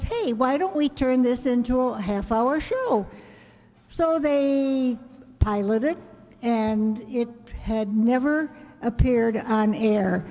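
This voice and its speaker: A woman's voice: a little high, dark tone. A woman casually introducing a show to a live audience. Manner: chatty, informal, shushing the crowd. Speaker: troupe host. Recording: reverberant hall.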